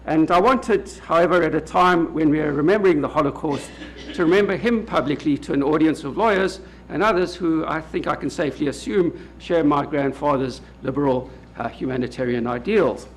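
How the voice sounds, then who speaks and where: A man with a South African accent delivers a formal lecture, his voice sitting fairly high for a man. He speaks in a measured, halting way, filling pauses with frequent "uh"s. A faint background noise runs under the recording. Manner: measured, many hesitant "uh" fillers. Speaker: legal academic speaker. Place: lecture hall at a public event.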